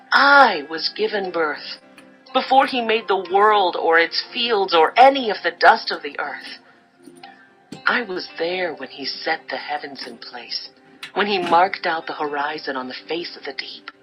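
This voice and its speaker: A woman's voice: mid-range voice, a bit bright, rough recording. An actress playing Wisdom as a solemn, commanding female spirit, in a stately, declamatory dramatized scripture reading. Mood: reverent.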